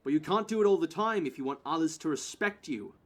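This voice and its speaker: A man's voice: a little high, animated, quick pace. A man playing a blunt, firm grey-haired security guard and mentor in an audio play.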